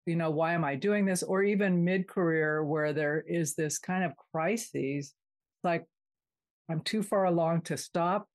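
A woman's voice: lowish voice, a little slow. A woman interviewing in a casual, empathetic way, reflecting back what she hears. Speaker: interviewer, host.

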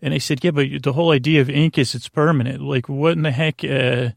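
A man in his forties hosting a sleep podcast, rambling casually, halting, repeating himself and trailing off mid-thought. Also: mid-range voice, fairly even intonation, vocal fry, dry recording, clean studio sound. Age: 40s. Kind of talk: rambling casual bedtime monologue.

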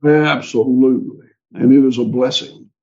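A man's voice: mid-range voice, steady tone, normal speed, vocal fry, a little mellow, highs cut off. A man with a Southern US accent, a livestock farmer talking in an interview, rambling and folksy with frequent restarts. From American South. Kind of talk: conversational interview.

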